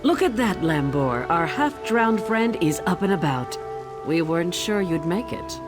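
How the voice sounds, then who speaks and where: A confident woman captain of a fantasy ship. Her voice sits low and swings expressively as she talks in a brisk, wry, plain-spoken way. Loud music runs underneath. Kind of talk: fantasy video game acting.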